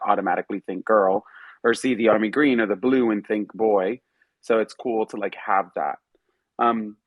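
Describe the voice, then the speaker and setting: A man's voice: fairly low pitch, no echo, rough recording. A young man from Miami hosting a casual live audio chat, upbeat and chatty, with many fillers. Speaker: host.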